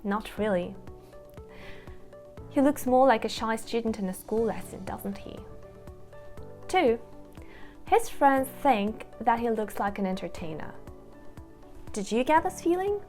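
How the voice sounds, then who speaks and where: Woman, english teacher, talking right at you, earnest and thoughtful, kinda high voice, faint music behind. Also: lively intonation, a little slow, long pauses, darkish tone. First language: Russian. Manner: earnest, reflective, addresses viewer directly. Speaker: English teacher, course founder. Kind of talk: scripted promotional talk to camera.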